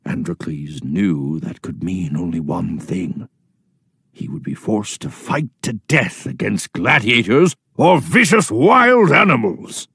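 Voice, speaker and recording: A man's voice: fairly low pitch, very animated, takes it slowly, vocal fry, a bit bright, husky, dry recording, clean studio sound. A man reading scripted story narration as a narrator in a children's readers theatre.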